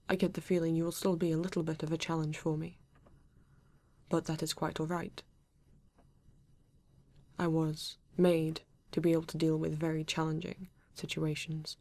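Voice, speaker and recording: A woman voicing a polite, formal male android detective in an ASMR roleplay, with a British accent and stiff, measured phrasing. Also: low voice, warm tone. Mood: earnest, composed.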